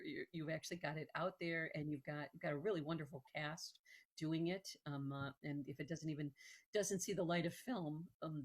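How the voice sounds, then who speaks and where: A woman hosting a casual interview, effusive and halting, often repeating her phrases. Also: low voice, slightly rough. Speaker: interviewer, host.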